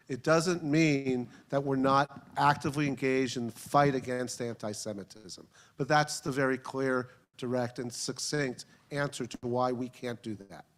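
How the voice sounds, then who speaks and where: A man answering questions on a panel, measured and diplomatic. His phrasing is formal and careful. His voice sits somewhat high for a man. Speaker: advocacy organization representative on a panel. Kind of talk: panel answers at a public forum.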